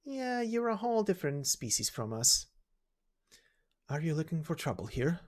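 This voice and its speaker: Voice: mid-range voice, sing-song, normal speed. One narrator doing a cocky, teasing young mercenary woman. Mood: taunting. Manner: taunting, flippant.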